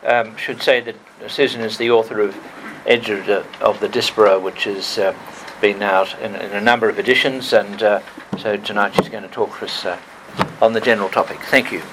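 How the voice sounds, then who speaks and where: A man hosting the event, giving a hesitant, halting introduction of the next speaker. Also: on the low side, fairly even intonation, a bit bright, a little hiss or hum, poor audio. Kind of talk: casual introduction.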